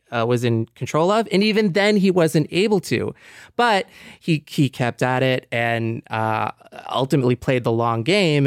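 A man speaking in a measured, informative way as a panelist in a discussion, pausing mid-phrase. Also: medium pitch, clear, resonant tone, no echo, studio quality. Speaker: podcast panelist. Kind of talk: explanatory discussion.

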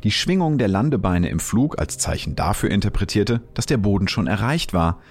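Deep-voiced male science narrator. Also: sing-song, a little fast, darkish tone. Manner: clear, explanatory.

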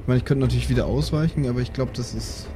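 Mellow-voiced man with southern German-Austrian accent. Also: mid-range voice, very fast, faint music behind. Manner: thinking aloud, seeking agreement with tag questions. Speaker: player in a role-playing game podcast. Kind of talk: casual table talk.

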